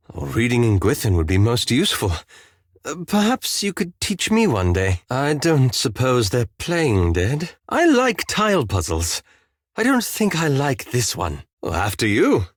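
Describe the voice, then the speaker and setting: An actor playing a wry, anxious, fastidious elf wizard in a video game, with a dry, polite and hesitant manner. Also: male voice, mid-range voice, no room sound. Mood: wry, reflective.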